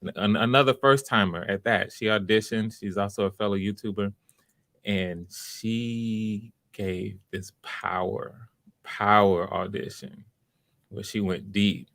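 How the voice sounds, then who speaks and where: Male livestream host, lower-pitched voice, close dry sound; casual, welcoming, encouraging, speaks off the cuff with halting phrasing. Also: no room sound. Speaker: host of live online voice auditions, writer. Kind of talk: casual livestream chat, greeting viewers by name.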